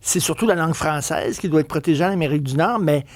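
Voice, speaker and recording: A man's voice: fairly high pitch, fast talker, no room sound. Québécois man hosting a radio interview. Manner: probing, conversational, with hesitant restarts. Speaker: radio host.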